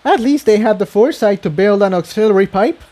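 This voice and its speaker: A man's voice: high voice, brisk, dark tone, voice pushed up, lightly acted. A man voicing a shy but capable young female flying pony in a story reading. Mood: admiring.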